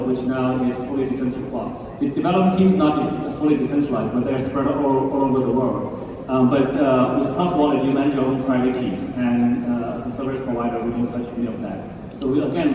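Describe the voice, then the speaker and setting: A man speaking on a conference panel, talking in halting stretches with many restarts and fillers. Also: mid-range voice, background noise. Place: large conference hall stage. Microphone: handheld mic, filmed from far in the audience. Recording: echoey hall filmed from far off.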